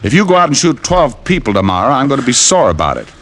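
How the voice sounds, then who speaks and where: An American actor playing a tough, wisecracking private detective in an old radio drama, sardonic and unhurried. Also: male voice, medium pitch, very animated, a bit bright. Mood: cool menace. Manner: sardonic, hard-boiled, unhurried. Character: tough wisecracking male private detective, menacing yet casual. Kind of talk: 1940s-50s radio detective drama acting. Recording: old radio broadcast recording, music behind.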